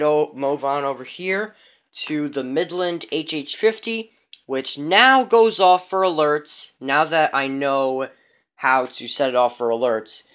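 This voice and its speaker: A man's voice: mid-range voice, a bit bright. A man giving a casual, unscripted show-and-tell of a hobby collection, rambling and repeating himself.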